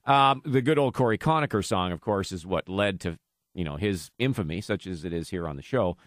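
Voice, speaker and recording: A man's voice: low-pitched, animated, a little fast, dry recording, studio quality. A man hosting a sports radio show with casual banter, joking in a wry, mock-serious way. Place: radio studio.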